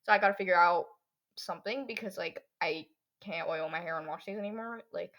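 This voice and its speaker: A teenage girl hosting her own podcast, chatting in a rambling way with lots of fillers while her voice is going hoarse. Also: on the low side, brisk, fairly bright tone, dull audio. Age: teens. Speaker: podcast host. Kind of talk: casual solo podcast chat.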